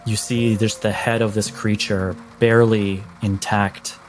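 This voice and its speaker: Slow, low-voiced male game master. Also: level tone, soft background music. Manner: deliberate, suspenseful phrasing with pauses. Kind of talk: dramatic tabletop game narration.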